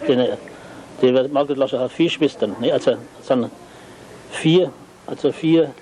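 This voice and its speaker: A man, casually explaining to visitors in a patient, halting, repetitive way. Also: mid-range voice, dark tone, slight background noise, poor audio. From Germany.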